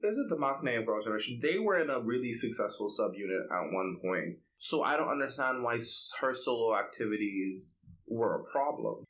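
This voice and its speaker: A man's voice: medium pitch, animated, a little fast, hardly any pauses, fairly bright tone, rough recording. A man co-hosting a podcast, chatting casually and giving opinions with lots of "like" filler. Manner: conversational, opinionated, peppers speech with "like". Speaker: podcast co-host. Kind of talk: casual K-pop podcast chat.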